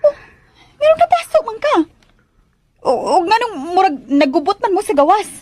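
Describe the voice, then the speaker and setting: A woman in a radio drama playing an excitable young woman with a whiny, exclaiming manner. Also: very high-pitched, moderate pace, no room sound. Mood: pestering.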